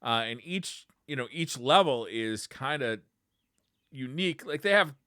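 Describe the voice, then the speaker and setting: A man with a bright, lively voice and a very mobile pitch, in a dry recording. He chats casually as a podcast co-host, stumbling and repeating words as he goes. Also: clean tone, no echo. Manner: halting, repeats words, heavy use of "like". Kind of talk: casual gaming podcast chat.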